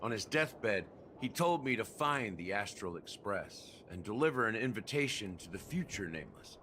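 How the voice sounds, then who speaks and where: An actor playing a world-weary, enigmatic middle-aged man in a video game, speaking in a measured, wry and reflective way. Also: fairly low pitch, fairly bright tone, slight background noise. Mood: solemn. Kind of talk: dramatic game dialogue.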